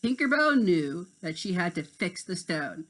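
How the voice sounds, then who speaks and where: A man's voice: high voice, very animated, unhurried, fairly bright tone. A man reading a children's story aloud as narrator, now and then stumbling over a word and rereading it. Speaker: storybook reader.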